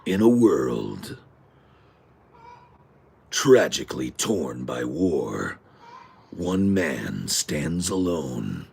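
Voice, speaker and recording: Movie trailer announcer, very low voice, slow, portentous, grave, dramatic. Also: male voice, very animated, gravelly, a little mellow, breathy edge, full character voice.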